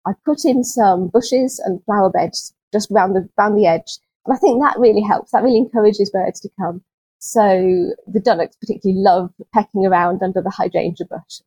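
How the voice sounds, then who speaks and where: A woman guest on a nature podcast, answering in a gentle, unhurried way with small restarts and soft laughs, sounding a little low on energy. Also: husky, no echo, rough recording. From UK, not Scotland. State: chronically ill, speaks with low energy. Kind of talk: conversational interview answers.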